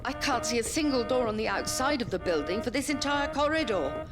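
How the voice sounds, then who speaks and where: Lively, excitable old woman played by an actress. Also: a little high, fairly quick, over music, lightly acted. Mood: panicked. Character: old female care home resident, suspicious, excitable, nimble. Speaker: voice actor. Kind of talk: audio drama acting.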